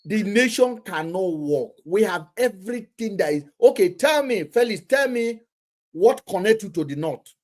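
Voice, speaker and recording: A man with a Nigerian accent, arguing forcefully in a heated casual debate and pressing others with questions. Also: high-pitched, lively intonation, moderate pace.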